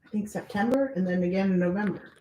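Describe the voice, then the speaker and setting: A woman co-hosting a car livestream, relaxed as she reads out viewer comments and questions. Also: medium pitch, fast, roomy sound, rough recording. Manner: relaxed, reads out chat messages. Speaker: co-host reading viewer comments. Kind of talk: casual chat on a livestream.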